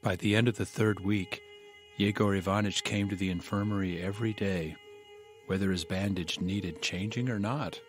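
A man playing a wry village storyteller, narrating an audio drama in a measured storytelling manner. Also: on the low side, fairly even intonation, close to an everyday voice.

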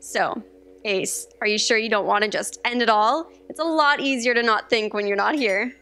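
Woman as female computer program, highish voice, flippant, tactless, theatrical, sci-fi stage drama. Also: normal speed, pushed and bright. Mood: morbidly flippant. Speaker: stage actor. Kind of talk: staged audio drama acting. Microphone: stand microphone on stage.

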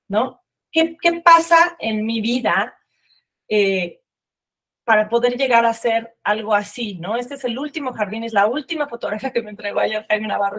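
A woman's voice: medium pitch, a bit bright. A woman in her forties from Mexico City with a Mexican accent, giving a webinar talk on a video call, conversational with many fillers and restarts. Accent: Mexican Spanish. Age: 40s. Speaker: landscape architect giving a webinar. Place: room with bookshelves. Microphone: video call webcam.

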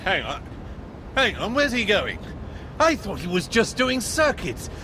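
An actor playing a cynical, commanding British army major in a radio drama, with a clipped, sardonic way of talking. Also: male voice, very animated, a little slow. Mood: alarmed. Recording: radio drama with loud vehicle rumble.

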